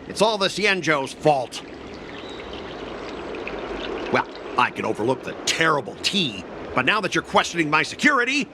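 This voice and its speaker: A cocky young man sneers and taunts in a high, wildly swooping voice. He is a sarcastic, theatrical salesman who looks down on everyone, heard over clear background noise. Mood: smug. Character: smug, mocking young male mech salesman and courier boss. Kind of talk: video game cutscene acting.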